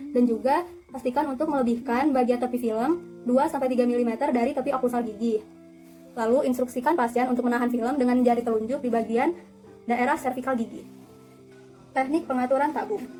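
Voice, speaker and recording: A woman's voice: sits high, warm tone, rough recording. A young woman giving an instructional demonstration in an orderly, polite, step-by-step manner. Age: young adult. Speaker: dental student demonstrating a radiography procedure.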